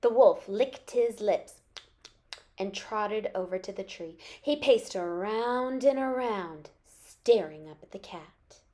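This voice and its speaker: A woman's voice: mid-range voice, slow pace, darkish tone. A woman reading a children's story expressively, as a teacher would to young listeners.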